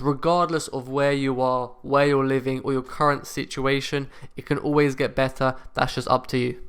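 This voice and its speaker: A young man hosting a football channel, giving direct, steady motivational advice to camera. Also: mid-range voice, clean tone. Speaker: football career coach and channel host.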